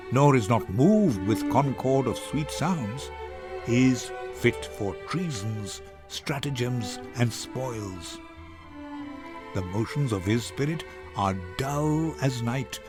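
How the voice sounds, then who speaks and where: Man playing a tender, poetic gentleman lover. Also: mid-range voice, pitched below the performer's own voice. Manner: lyrical, measured, tender. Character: Lorenzo, romantic, poetic young gentleman lover. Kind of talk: dramatic Shakespeare reading.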